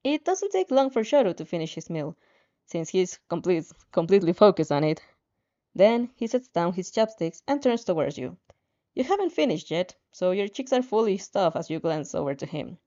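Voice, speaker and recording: A woman's voice: fairly low pitch, sing-song, normal speed, warm tone. A woman reading a story aloud expressively as narrator, with casual reactions, on a slightly dull, lo-fi recording. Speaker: fan fiction narrator.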